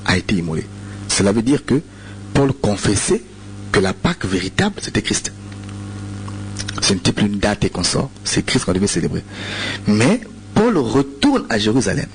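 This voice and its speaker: A man's voice: on the low side, big pitch swings, normal speed, pauses often, a little hiss or hum, poor audio. A man from Congo with a Congolese French accent, a radio preacher answering listener questions, hesitant, with many repeated words and fillers. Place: radio studio.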